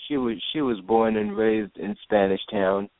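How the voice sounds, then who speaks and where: A man from Jamaica, a guest in an interview, talking haltingly with many restarts. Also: on the low side, no room sound. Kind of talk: casual interview talk. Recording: phone line, dry.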